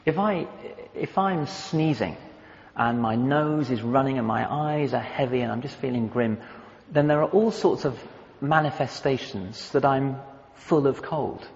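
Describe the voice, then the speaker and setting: A man preaching a sermon to his church, conversational and thoughtful, pausing to search for words. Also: medium pitch. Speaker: pastor. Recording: echoey hall, dull lo-fi sound.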